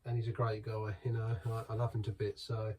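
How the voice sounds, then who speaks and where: Middle-aged man, dark mellow tone, rambling casual beer review, mic set back from him. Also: on the low side, monotone, a little fast, husky. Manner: rambling and chatty, with many restarts. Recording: voice from some distance from the mic.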